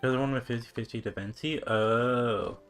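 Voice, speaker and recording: A man's voice: mid-range voice, moderate pace, fairly bright tone. Laid-back male game streamer, music behind. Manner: laid-back, thinking aloud. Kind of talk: casual livestream chat.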